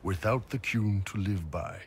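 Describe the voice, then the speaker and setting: Man playing a deep, blunt mercenary chief. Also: a little slow, a little hiss or hum, close to an everyday voice. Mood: bitter. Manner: blunt, laconic, casually profane. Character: big gruff horned mercenary chief, blunt and wry. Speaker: mercenary company leader. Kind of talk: video game voice acting.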